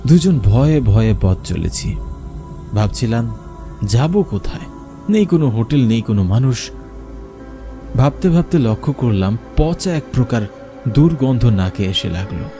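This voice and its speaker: A man's voice: mid-range voice, expressive, a little slow, long pauses, warm tone. A man narrating a scripted first-person horror story in a dramatic, suspenseful way. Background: faint music.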